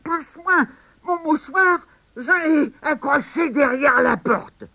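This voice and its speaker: A man's voice: extremely high pitch, slow pace, bright, forceful tone, no echo, voice pushed up, exaggerated voice. A man voicing a sneezing old grandmother witch with a bad cold, talking in a nasal, sniffly way. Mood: scolding.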